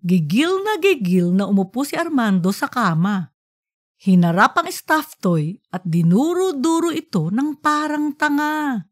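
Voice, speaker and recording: A woman narrating an audiobook in an expressive storytelling style. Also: high-pitched, measured pace, no room sound, clean studio sound.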